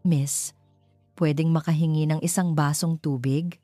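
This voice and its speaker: A woman's voice: sits low, fairly even intonation, a little slow, dark tone, dry recording, soft background music. Woman reading as earnest man in love. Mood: affectionate. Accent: Filipino. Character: devoted, earnest male executive in love.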